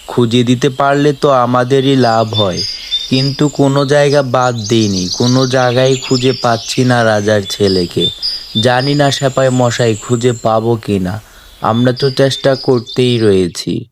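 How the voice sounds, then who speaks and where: A man's voice: medium pitch, a little slow, lightly acted. Apologetic village trader played by a man, noisy background. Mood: helpless, apologetic.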